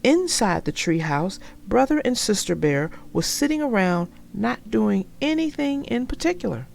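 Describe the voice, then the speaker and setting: A woman narrating a children's storybook aloud in a deliberate, expressive way. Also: fairly high pitch, gravelly.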